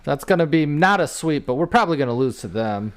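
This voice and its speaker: A man with a fairly high voice co-hosts a casual podcast. His pitch moves around a lot as he talks, giving his chat an animated sound. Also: quick pace, clean tone. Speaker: podcast co-host.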